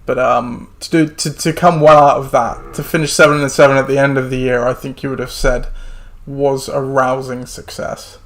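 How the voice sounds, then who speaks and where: Slightly hoarse man hosting a sports podcast. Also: medium pitch, little pitch movement, normal speed. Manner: rambling, lots of fillers and restarts. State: hoarse, losing his voice. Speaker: podcast host. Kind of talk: casual sports chat.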